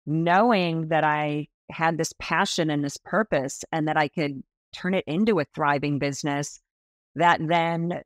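A woman in her fifties, a stylist being interviewed on a podcast over a video call, telling stories with lively enthusiasm. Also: sits low, no echo, studio quality. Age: 50s. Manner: animated, enthusiastic storytelling with expressive hand gestures. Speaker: guest stylist. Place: small indoor room.

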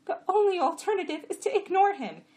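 A woman voicing a bossy, exasperated female donkey with a sharp tongue and a braying, commanding delivery in a story. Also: very high-pitched, very animated, fairly quick, pitched above the performer's own voice. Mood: earnest, worried. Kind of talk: audiobook character voice.

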